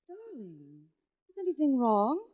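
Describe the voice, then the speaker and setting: An actress playing an anxious, devoted wife in a 1940s radio suspense drama, speaking in a dramatic, deliberate manner. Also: female voice, sits high, wildly swooping pitch, slightly rough, exaggerated voice. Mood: worried. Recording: vintage radio, phone-like band, music behind.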